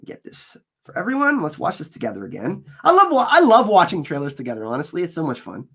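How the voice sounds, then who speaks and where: Male fan host, casual trailer breakdown, a bit scratchy, somewhat high and very lively pitch. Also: fast talker, creaky, slightly rough. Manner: excitable, enthusiastic fan. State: slightly scratchy from allergies.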